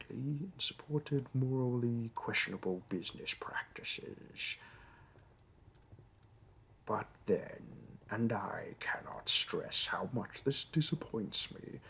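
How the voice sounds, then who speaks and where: A man voicing a demon interviewer in a roleplay audio drama, dry and condescending with mock sympathy, in a low gravelly voice. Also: a bit bright, slight background noise. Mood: dry, appraising, then disappointed. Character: bureaucratic male demon interviewer, disappointed, politely wicked.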